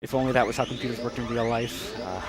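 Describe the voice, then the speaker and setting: A man giving live gameplay commentary as a speedrunner, hesitant and rambling with dry jokes, with loud game music behind him. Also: mid-range voice, steady tone, fast, noisy background. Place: livestreamed charity gaming marathon.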